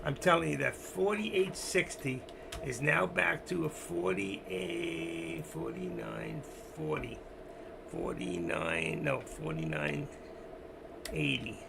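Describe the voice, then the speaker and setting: A man hosting a casual trading livestream, rambling to himself and his chat with a hoarse voice. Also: fairly high pitch, very animated, slow pace, noisy background. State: losing his voice. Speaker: stock trading livestream host. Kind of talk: casual live market commentary.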